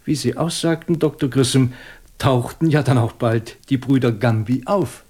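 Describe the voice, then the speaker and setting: A shrewd male police inspector played by an actor for a radio crime play. His medium-pitched voice probes methodically and stays composed. He lays out each point with dry superiority. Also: steady tone, normal speed, darkish tone, husky, close to an everyday voice. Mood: probing, confident. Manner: methodical, probing, dryly superior. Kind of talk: German radio crime drama acting.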